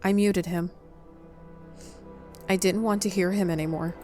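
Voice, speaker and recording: Lowish-voiced woman reading a game aloud. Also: steady tone, measured pace, mellow, soft background music. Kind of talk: dramatic read-aloud of a visual novel playthrough.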